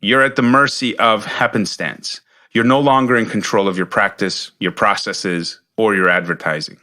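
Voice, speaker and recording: A man delivers a coaching monologue as a podcast host. His voice sits on the low side but has a sharp, forceful edge. He speaks emphatically and instructively, restating key points so they land. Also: steady tone, moderate pace.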